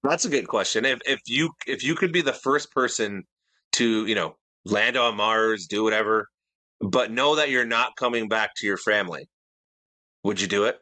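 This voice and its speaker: A man's voice: lowish voice, moderate pace, sharp tone, dry recording. A man co-hosting a podcast in casual chat, easygoing and rambling, often posing questions. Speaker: podcast co-host.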